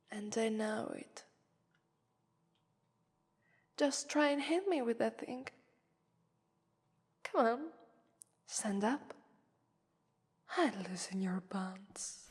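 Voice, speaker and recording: A woman's voice: high-pitched, heavily acted. A woman with an Italian accent voicing a haughty, mocking female vampire who toys with her prey in a theatrical ASMR roleplay. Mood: taunting. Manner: mocking, theatrical, drawn-out phrasing with breathy whispers. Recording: long reverberant hall echo.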